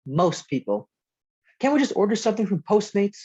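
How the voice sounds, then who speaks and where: A man's voice: high voice, very animated, normal speed, darkish tone, breathy edge, full character voice. A man playing a skeptical, dry-witted young boy in an amateur table read, on dull call audio. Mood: dry, urgent.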